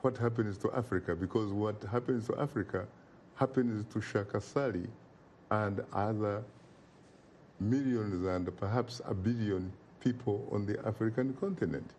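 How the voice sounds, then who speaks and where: A man speaks as an interview guest in a warm, mellow voice. He is emphatic and oratorical and drives his points home through repetition. Faint noise sits under the recording. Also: lowish voice, normal speed. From Uganda. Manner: emphatic and oratorical, repeats phrases for effect. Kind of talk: impassioned interview talk.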